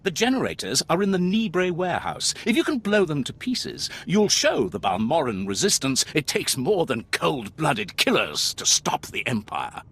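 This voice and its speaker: An actor playing a brash, gung-ho Imperial lieutenant in a video game, raspy and cocky with theatrical emphasis. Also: male voice, on the high side, big pitch swings, moderate pace, few pauses, a bit bright, exaggerated voice. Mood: gung-ho, bloodthirsty. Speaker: military officer character.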